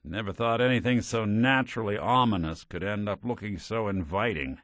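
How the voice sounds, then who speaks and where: An actor playing a weary, wry but kind synth private detective in a video game, with an American accent and a folksy old-time gumshoe delivery. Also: male voice, fairly low pitch, moderate pace, fairly bright tone, dry recording, clean studio sound, natural voice. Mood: wry, reflective. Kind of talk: noir detective game dialogue.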